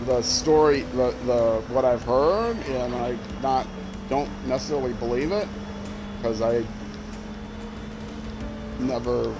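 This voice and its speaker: A man's voice: mid-range voice, slow pace, pauses often, background noise. Rambling man streaming a racing game. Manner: rambling, trailing off and restarting; sudden excited outbursts while racing. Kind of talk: casual gameplay commentary. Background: loud game music and car engine sounds.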